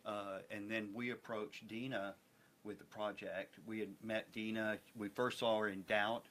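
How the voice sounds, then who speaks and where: Low-voiced American man, reflective, some background noise. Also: level tone, unhurried, a bit bright. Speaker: theatre producer and interview guest. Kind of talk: conversational interview talk.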